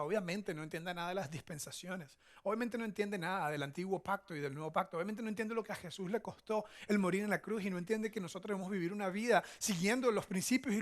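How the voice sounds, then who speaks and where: A man's voice: high-pitched, a bit bright. A man with a Venezuelan accent giving a conversational teaching sermon as a pastor, often checking in with short tag questions. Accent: Venezuelan Spanish. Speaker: church pastor, preacher.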